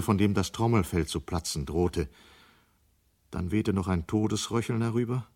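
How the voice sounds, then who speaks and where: A man narrating a 1970s German radio play in a grave, deliberate, dramatic manner. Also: deep voice, gravelly, a little mellow, breathy edge, exaggerated voice. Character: grave male storyteller of a city's destruction.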